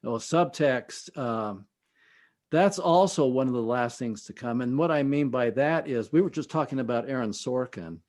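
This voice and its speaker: A man speaking as an interview guest and teacher, explaining things in a measured way with pauses between phrases. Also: medium pitch, animated, darkish tone. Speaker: podcast guest, screenwriting teacher. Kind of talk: conversational expert interview.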